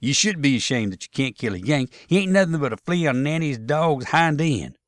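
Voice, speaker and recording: A man's voice: mid-range voice, expressive, fairly bright tone, dry recording, studio quality, voice pushed up. Man acting a pushy, drawling Southern soldier. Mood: insistent, scolding. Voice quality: slow drawl. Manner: insistent, scolding, folksy. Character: gruff, pushy Confederate soldier urging his comrade to shoot.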